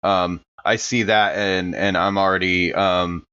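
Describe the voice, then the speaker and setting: A man with a lowish voice plays a tabletop game. He casually improvises his moves, hesitating as he thinks aloud, on a slightly dull recording. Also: little pitch movement, a little slow, pushed and bright, smooth. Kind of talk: casual improvised role-play.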